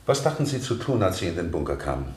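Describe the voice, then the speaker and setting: An actor playing a formal, insistent courtroom examiner who questions witnesses in a measured way in a radio play. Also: male voice, deep voice, sing-song, darkish tone. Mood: formal, probing. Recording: echoey room, 1970 radio play.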